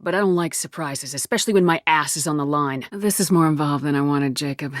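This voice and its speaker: A woman's voice: low-pitched, fast, no room sound, clean studio sound, lightly acted. A woman voicing a tough, commanding female space soldier in a video game, with a firm, blunt, controlled delivery. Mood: resolute, blunt.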